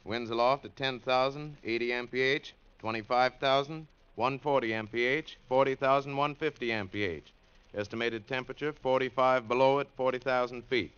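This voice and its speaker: An actor playing an easygoing, dutiful military weather briefer in an old radio drama, reporting item by item. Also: male voice, medium pitch, steady tone, normal speed, a bit bright, clear, resonant tone, natural voice. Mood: matter-of-fact. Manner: orderly, itemised reporting. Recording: muffled vintage radio recording.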